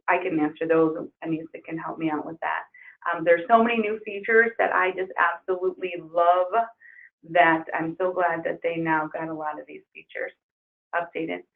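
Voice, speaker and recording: Hoarse woman trainer on a tinny webinar. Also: fairly low pitch, steady tone, fairly quick. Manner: upbeat, enthusiastic promoting. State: losing her voice. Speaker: insurance software trainer. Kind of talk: webinar screen-share walkthrough. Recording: tinny phone-like webinar audio.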